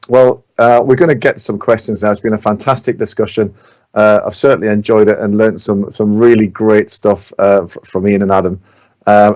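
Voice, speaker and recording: A man's voice: lowish voice, a little mellow. A man hosting a podcast, putting questions to guests in a conversational way. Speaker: podcast host. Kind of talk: conversational interviewing.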